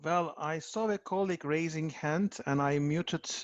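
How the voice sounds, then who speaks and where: A man's voice: a little high, unhurried. A man hosting and moderating an online event, speaking hesitantly and often repeating words. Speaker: event host. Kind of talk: moderating a video call. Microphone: video call. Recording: dull, dry video call audio.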